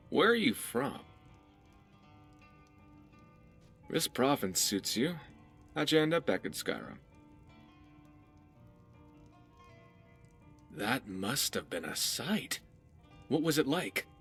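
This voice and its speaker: Wry, animated pirate adventurer. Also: male voice, medium pitch, gravelly. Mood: curious, friendly. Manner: sardonic, conversational. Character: wry, curious, easygoing pirate adventurer companion. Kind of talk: video game companion dialogue. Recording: background music under the voice.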